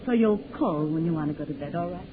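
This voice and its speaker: A woman's voice: fairly low pitch, fast talker, natural voice. An actress playing a worried older mother who coaxes her grown son in a fussing way, from a 1940s radio drama. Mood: coaxing. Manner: coaxing, worried. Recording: tinny 1940s radio broadcast with noise.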